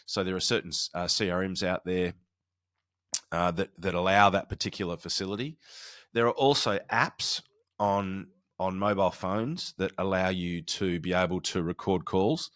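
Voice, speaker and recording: A man's voice: sits low, normal speed, fairly bright tone, no room sound, clean studio sound. A man speaking as a podcast host and coach, explaining and advising in an informal, conversational solo style with often restarted phrases.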